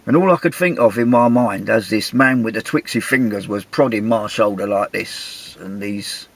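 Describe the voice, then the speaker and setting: An English man, middle-aged, with a gravelly, husky voice, telling a travel story in a chatty, wry, self-mocking way. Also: on the low side, moderate pace. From England. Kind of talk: casual anecdotal storytelling.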